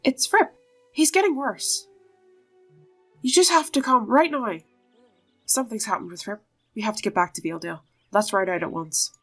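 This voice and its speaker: A woman's voice: mid-range voice, normal speed, long pauses, bright, forceful tone, soft background music. Earnest young horse-riding heroine read by a woman. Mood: urgent.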